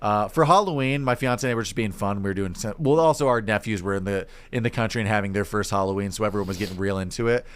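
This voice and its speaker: A man's voice: lowish voice, quick pace, few pauses, smooth. A man co-hosting a podcast in casual conversation, informal and chatty, often tossing out questions. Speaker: podcast co-host. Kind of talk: casual chat about sweets.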